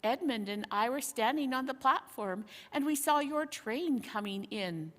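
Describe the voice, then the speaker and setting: An earnest young boy king from a storybook , voiced by a woman reader. The pitch is on the high side and moves freely as he explains things thoughtfully. A long hall reverb trails the words. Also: expressive, a little slow. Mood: earnest recollection. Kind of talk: storybook character dialogue.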